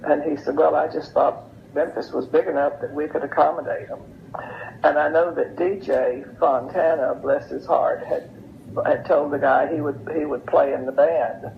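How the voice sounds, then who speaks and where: An older woman from an interview, with a creaky, gravelly voice. She tells stories in a casual, chatty manner. The sound is dull and lo-fi with faint noise. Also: deep voice, steady tone, normal speed. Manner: chatty, anecdotal. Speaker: interview guest. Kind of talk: casual storytelling. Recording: muffled lo-fi audio with noise.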